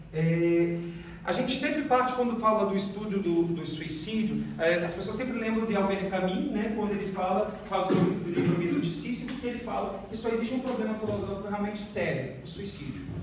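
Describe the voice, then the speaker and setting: A Brazilian man giving an academic presentation as a researcher, explaining in a halting way with restarted phrases. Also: sits high. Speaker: researcher presenting a case study. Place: reverberant room, recorded from a distance. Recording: very echoey room, distant mic, faint noise.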